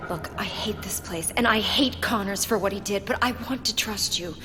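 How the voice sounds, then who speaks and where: A woman's voice: medium pitch, moderate pace, over music, natural voice. A young woman with an American accent, acting as a smart, brave, caring heroine in a video game cutscene. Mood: anxious, urgent. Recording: clear background noise and ambience. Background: noisy ambience.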